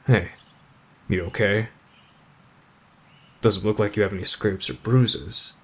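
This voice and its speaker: A man acting in an ASMR roleplay as a gruff, aloof teenage delinquent, deadpan and terse but protective of a friend. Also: lowish voice, slow pace. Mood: gruffly caring.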